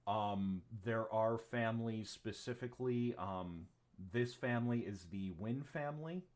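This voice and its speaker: Low-voiced guy gm, slow and rambly, lots of ums, swears a bit. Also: darkish tone. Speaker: game master. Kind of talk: narrating a tabletop game on a livestream.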